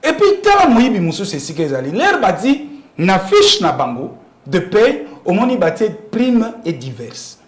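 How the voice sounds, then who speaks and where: Man, Congolese accent, high voice, very lively intonation, emphatic explainer, rhetorical questions, political interview. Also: moderate pace, roomy sound. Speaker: interview guest commenting on politics. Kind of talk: animated political interview talk.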